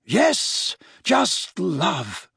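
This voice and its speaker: A man's voice: high voice, huge pitch swings, extremely slow, vocal fry, fairly bright tone, slightly rough, dry recording, studio quality, pitched above the performer's own voice, full character voice. A man voicing an old, wise headmaster wizard in an audiobook, speaking formally and emphatically as an earnest, urgent mentor. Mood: earnest, measured.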